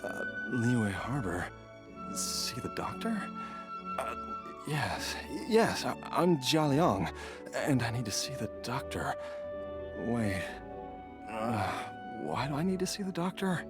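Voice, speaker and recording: A man playing a confused, ailing father in a video game, speaking haltingly and bewildered. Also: medium pitch, wildly swooping pitch. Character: dazed, confused, ailing father with lost memory. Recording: music behind the voice.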